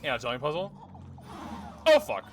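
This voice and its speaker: Young male streamer, casual, swears, animated delivery over game music. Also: mid-range voice, normal speed. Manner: thinks aloud, swears, chuckles often. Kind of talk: casual live gameplay commentary.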